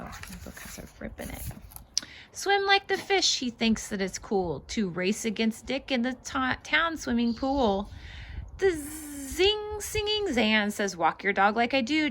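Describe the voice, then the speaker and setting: A woman reading a children's storybook aloud in an expressive, sing-song way, with casual asides to her young listeners. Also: fairly high pitch, slight background noise. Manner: animated, sing-song rhyming delivery. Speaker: children's read-aloud host and fitness instructor.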